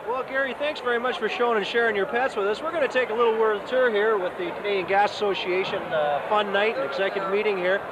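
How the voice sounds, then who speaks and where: A man's voice: high-pitched, fairly even intonation, quick pace, hardly any pauses. A man hosting roving event coverage at a banquet, talking in a folksy, chatty and enthusiastic way on a handheld mic. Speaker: event reporter and host. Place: indoor banquet hall at a party. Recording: noisy camcorder audio at a party.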